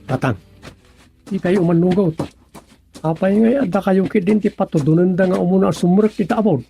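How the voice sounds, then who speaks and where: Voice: medium pitch, moderate pace, vocal fry, warm tone, faint music behind, close to an everyday voice. An actor playing a wary younger villager in an Ilocano radio comedy drama, questioning and urging in an Ilocano accent.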